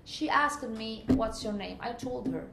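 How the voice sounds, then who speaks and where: Egyptian woman interviewee, earnest and searching, gravelly voice, echoey room with faint ambience. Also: on the high side, unhurried, heavy background noise. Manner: earnest, searching for words with restarts. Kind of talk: conversational personal account.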